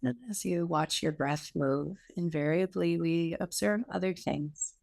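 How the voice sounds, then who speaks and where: Lady leading a guided meditation, lowish voice w lots of fry, takes her time, reassuring. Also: darkish tone. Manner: unhurried, reassuring, with pauses. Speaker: yoga meditation teacher. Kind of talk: guided meditation, gently instructive.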